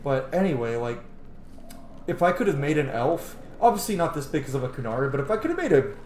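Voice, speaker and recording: A man's voice: a little high, sing-song, fast talker, a little mellow. A man doing casual, rambling, sweary let's play commentary as he streams a video game. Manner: rambling, sweary, self-joking. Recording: echoey room with clear background noise.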